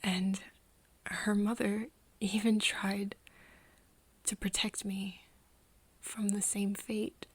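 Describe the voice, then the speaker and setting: A woman acting an earnest, wistful friend in an intimate ASMR roleplay, speaking softly and haltingly, often near a whisper. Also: medium pitch, level tone, no echo. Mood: wistful, sad. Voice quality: soft, breathy, often whispery. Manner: hesitant, halting, pausing often.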